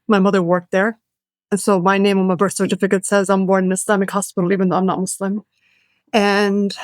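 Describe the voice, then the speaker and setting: Even-toned woman explaining herself. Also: mid-range voice, dry recording. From Israel. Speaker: psychiatrist guest. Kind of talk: casual interview answers.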